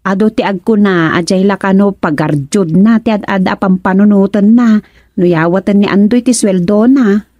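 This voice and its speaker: A woman's voice: mid-range voice, normal speed, mellow, dry recording, lo-fi sound, natural voice. A woman playing a chatty, gossiping village busybody in a radio comedy drama, with an Ilocano accent and exclamatory manner. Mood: gossipy.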